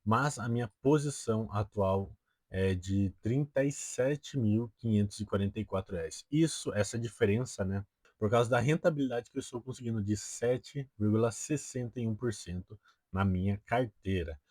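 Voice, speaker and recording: Young brazilian guy around 20, lowish voice, casual youtube explainer, lots of tag questions, dry sound no room. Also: normal speed, darkish tone, no echo. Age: 20 years old. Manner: peppers sentences with tag questions. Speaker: personal finance video host.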